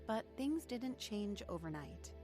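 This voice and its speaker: A woman's voice: mid-range voice, big pitch swings, unhurried, music in the background. An actress playing an earnest young woman who reflectively narrates her testimony in a radio drama.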